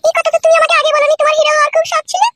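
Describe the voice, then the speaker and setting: A woman voicing a sweet, talkative young village wife in a comedy cartoon, with a squeaky voice. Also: little pitch movement, very fast, heavy background noise. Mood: sweet, affectionate.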